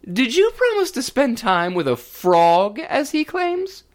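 A man voicing a stern, commanding king in a storybook reading, loud and curt. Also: very high voice, sing-song, slow pace, pitched above the performer's own voice, heavily acted.

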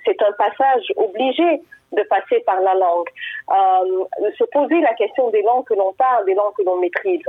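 Woman writer, slightly husky, tinny phone-line sound, dry and close. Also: medium pitch, no room sound. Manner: thoughtful, reflective, with frequent hesitations. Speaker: guest author. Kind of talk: conversational interview answer. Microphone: telephone.

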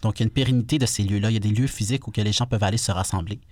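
A young man with a Quebec French accent, talking casually on radio with relaxed fillers. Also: on the low side, rapid-fire, no echo. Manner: relaxed, frequent "euh" fillers. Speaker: guest co-host.

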